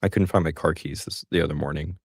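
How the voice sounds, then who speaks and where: A man with a very low, gravelly voice hosts a live call-in stream. He talks casually, joking and poking fun at himself. The sound is dry and close. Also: fairly even intonation, fast, dry recording. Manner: chatty, self-deprecating, joking. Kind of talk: casual chat.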